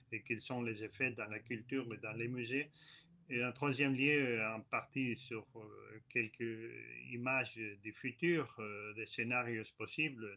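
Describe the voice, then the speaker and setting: Male guest lecturer, hesitant and full of fillers, crisp bright voice, speaking over a video call. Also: medium pitch. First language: not French. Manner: halting, hesitant, frequent filler sounds and restarts. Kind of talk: online conference lecture. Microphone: computer mic on a video call.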